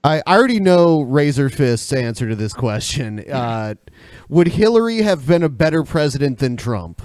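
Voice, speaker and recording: A man's voice: mid-range voice, very animated, moderate pace, a little mellow, no echo. A man co-hosting a podcast, chatting casually and putting questions to the guests. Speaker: podcast co-host reading questions. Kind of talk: casual chat.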